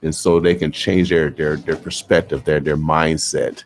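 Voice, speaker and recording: A man hosting a radio talk show, speaking haltingly with many fillers. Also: rumbling low, flat delivery, a little hiss or hum. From Hilltop neighborhood. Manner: frequent backchannel, halting with fillers. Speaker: radio host.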